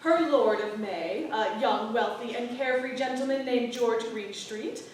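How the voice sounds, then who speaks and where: A woman's voice: on the high side, unhurried. A woman giving theatrical scripted narration as an actor reading a play synopsis. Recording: echoey hall from far off, faint noise.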